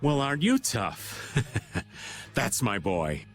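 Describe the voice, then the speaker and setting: An actor playing a laid-back, folksy, teasing middle-aged rogue in a video game. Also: male voice, mid-range voice, huge pitch swings, slow pace, faint music behind, exaggerated voice.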